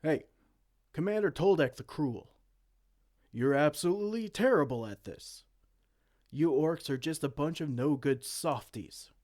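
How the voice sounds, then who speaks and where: Man playing a slow-talking, pompous, dorky evil king. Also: medium pitch, darkish tone. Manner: pompous, theatrical, exasperated. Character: dorky, petulant evil king, theatrical but soft-hearted. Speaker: amateur roleplay audio performer. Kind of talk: scripted comedic fantasy roleplay, ASMR.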